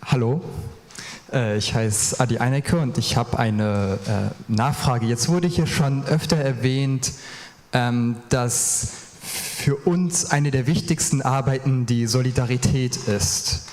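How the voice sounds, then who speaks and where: A man's voice: mid-range voice, darkish tone. A man asking a question from the audience at a seminar, hesitant and searching for words. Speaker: audience member. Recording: echoey hall.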